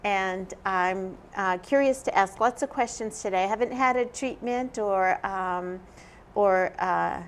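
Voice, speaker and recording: A woman hosting a local health talk show, friendly and halting as she asks her guest questions. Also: medium pitch, a little hiss or hum. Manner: friendly, hesitant with fillers and restarts. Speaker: host, nurse.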